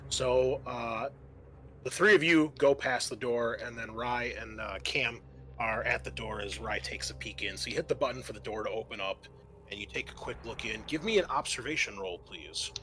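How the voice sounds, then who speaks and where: A man running a tabletop game, describing scenes in a halting, conversational way. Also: medium pitch, fairly bright tone, a little hiss or hum. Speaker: game master. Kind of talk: improvised tabletop game narration.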